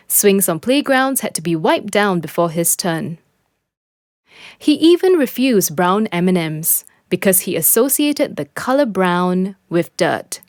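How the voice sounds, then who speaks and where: A woman's voice: medium pitch, darkish tone, dry recording. Woman reading in a very dry recording. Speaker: podcast reader and host. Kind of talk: scripted narration of a personal reflection.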